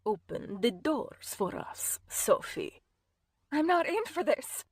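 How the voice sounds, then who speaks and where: A woman reader voicing a cold, stern, self-righteous woman who speaks slowly and calmly, sometimes in a whisper. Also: a little high, slightly rough, no room sound, studio quality, exaggerated voice. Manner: slow, calm, measured, at times whispered. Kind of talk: audiobook character voice.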